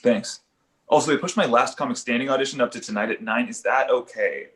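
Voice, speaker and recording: A man playing an awkward young father and amateur comedian in an animated comedy table read, hesitant and stammering. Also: mid-range voice, a little fast, close to an everyday voice. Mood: easygoing. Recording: roomy echo.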